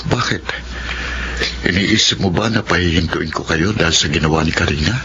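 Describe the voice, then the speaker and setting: An actor playing a hardworking older brother who drives a tricycle, speaking calmly and reassuringly in a Filipino radio drama. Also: male voice, deep voice, a little fast, natural voice. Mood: gentle. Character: hardworking older brother supporting his siblings. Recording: dull, noisy radio drama audio.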